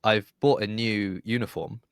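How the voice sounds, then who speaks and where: A middle-aged man with a fairly low voice, recorded close and dry with no room sound. He hosts a podcast in a casual, conversational way. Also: fairly even intonation, a little slow, clear, resonant tone, dry recording. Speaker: podcast host. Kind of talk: casual interview chat.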